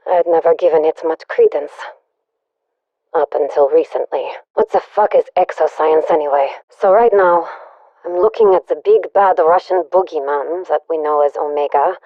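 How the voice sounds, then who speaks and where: A woman's voice: sits low, no room sound. An actress playing a wry, secretive German woman spy, confiding in a scripted video game audio log through a radio filter. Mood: sardonic, probing. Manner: wry, confiding, dryly joking. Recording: dull lo-fi radio recording.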